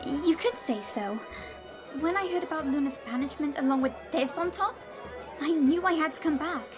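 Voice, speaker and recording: A woman's voice: sits high, moderate pace, music in the background, crowd noise, natural voice. A woman playing an earnest young female pony traveler in an audio drama, polite, eager and emotional. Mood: worried, explaining.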